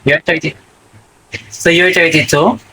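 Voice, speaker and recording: A man's voice: a little high, fairly even intonation, a little slow, sharp tone, slightly rough, rough recording. A young man from the Philippines with a Filipino accent, chatting casually on a video call in hesitant English with many restarts. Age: young adult. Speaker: livestream host practising English.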